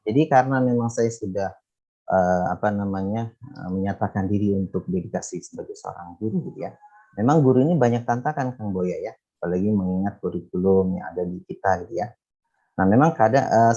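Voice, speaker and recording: A man's voice: on the low side, warm tone, dull audio. A middle-aged man, an educator chatting casually and explaining his work in an interview. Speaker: teacher, education manager and author being interviewed. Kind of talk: casual explanatory chat with many fillers.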